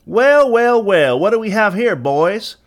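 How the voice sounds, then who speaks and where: A man's voice: high-pitched, big pitch swings, a little slow, voice pushed up. A man voicing a young, swaggering Confederate gang leader in a storybook, cruel and mocking, with a twangy American Southern accent. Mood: gleeful menace. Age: young adult.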